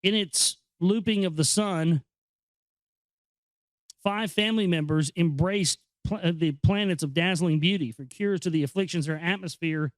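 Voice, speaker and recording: Higher-voiced man reading and commenting, dry sound. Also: no room sound, low-quality recording. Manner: conversational, halting, explanatory. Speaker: host reading a book aloud with commentary. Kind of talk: informal reading with off-the-cuff commentary.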